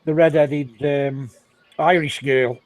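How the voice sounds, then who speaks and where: A man's voice: on the high side, steady tone, slow pace, no room sound. A man from England joking crudely and chatting casually while watching a show with friends. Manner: crude joking, laughs at own jokes. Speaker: live chat co-host. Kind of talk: casual group chat.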